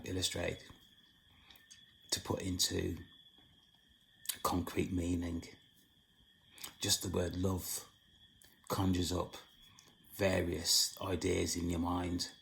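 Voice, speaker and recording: A man with a deep, heavily gravelly voice that has gone hoarse. He talks slowly in a flat, solemn tone, with long halting pauses between phrases, like a host working through a spiritual talk. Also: slight background noise, low-quality recording. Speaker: channel host. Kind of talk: spiritual monologue.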